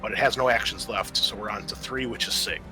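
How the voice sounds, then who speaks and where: Bright-voiced man running a tabletop game. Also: fairly low pitch, brisk. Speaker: game master. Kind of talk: narrating a tabletop roleplaying game. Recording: music behind the voice. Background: music.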